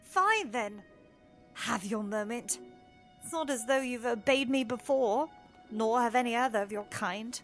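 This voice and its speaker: A woman's voice: a little high, unhurried, a bit bright, soft background music. A woman playing a proud, curious young princess in an audio drama, theatrically musing and exclaiming to herself. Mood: huffy.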